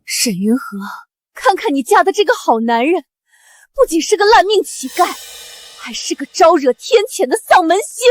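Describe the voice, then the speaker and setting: A woman's voice: extremely high pitch, sing-song, moderate pace, dry recording. A sneering spiteful noblewoman. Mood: gloating. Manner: sneering, gloating. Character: spiteful young noblewoman who disowns her kin. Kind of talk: short-drama acting.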